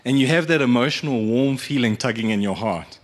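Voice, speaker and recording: A man's voice: mid-range voice, a little fast. A man giving an informal, earnest, explanatory presentation to an audience. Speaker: media agency speaker. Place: live event venue.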